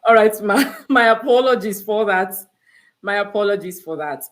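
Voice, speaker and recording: A woman's voice: mid-range voice, expressive, normal speed. A woman hosting a live online interview, chatty and polite, with plenty of "you know" and "um" fillers. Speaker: host.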